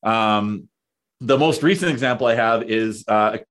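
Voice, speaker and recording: A man in his thirties, chatting as a podcast guest, talking in a halting way with lots of fillers and restarts. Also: fairly low pitch, a bit bright. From Canada. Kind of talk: casual conversation.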